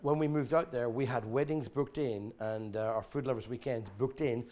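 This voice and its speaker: Blunt animated middle-aged man. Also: mid-range voice, normal speed. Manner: blunt, animated, swears freely, many restarts. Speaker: guest speaker at an expo. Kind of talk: informal talk with audience questions. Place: large exhibition tent with a small audience.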